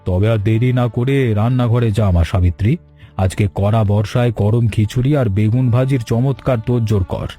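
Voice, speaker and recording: A man plays an old village father-in-law in a cartoon tale. His tone is dark and relaxed. He talks with earnest, fatherly affection over light music. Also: medium pitch, brisk, breathy edge, close to an everyday voice. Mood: pleased. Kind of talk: cartoon story dialogue.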